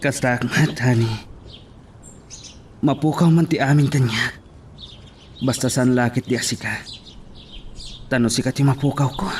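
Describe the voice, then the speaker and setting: A man's voice: mid-range voice, a little hiss or hum, close to an everyday voice. A man playing a poor, devoted young sweetheart in a romantic audio drama, speaking earnestly and sentimentally.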